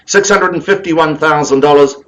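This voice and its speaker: Male auctioneer, New Zealand accent, higher-pitched, booming, rhythmic bid-calling patter. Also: normal speed, a bit bright, highs cut off, slight background noise. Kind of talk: live property auction calling. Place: auction room with bidders present.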